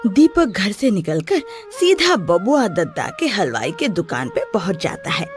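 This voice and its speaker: Female children's storyteller, mid-pitched with hugely varied intonation, reading scripted narration. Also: big pitch swings, measured pace, music in the background.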